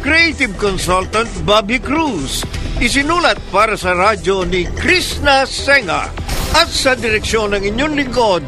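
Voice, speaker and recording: Slow, high-pitched Filipino male announcer over music. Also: lively intonation. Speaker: radio drama director and announcer. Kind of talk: formal radio drama credits announcement.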